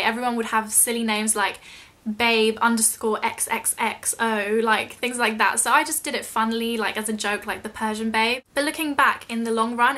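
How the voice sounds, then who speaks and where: A woman's voice: a little high, pushed and bright. A young British woman chatting casually in a question-and-answer vlog, rambling a little and self-deprecating. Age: young adult. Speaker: YouTube vlogger.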